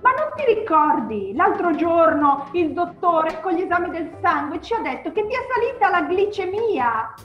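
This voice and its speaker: A woman acts an anxious, insistent wife in a comic radio soap. Her very high voice nags and frets, echoing over a video call with faint music. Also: big pitch swings, normal speed, hardly any pauses, dull audio. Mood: worried, insistent. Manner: scolding, insistent. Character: anxious, nagging wife fussing over husband's health. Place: home kitchen.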